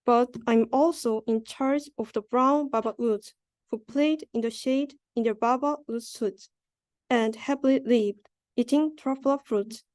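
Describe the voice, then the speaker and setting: Higher-voiced young woman reading a rhyming storybook. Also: measured pace, darkish tone, no echo. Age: young adult. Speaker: student reader.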